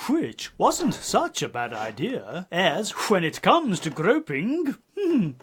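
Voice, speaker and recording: Theatrical British man, very slow and swooping. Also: sits high, slightly rough. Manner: theatrical, mock-spooky, hammy delivery. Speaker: narrator of a comic parody audiobook. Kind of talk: dramatised comic storytelling with muttered asides.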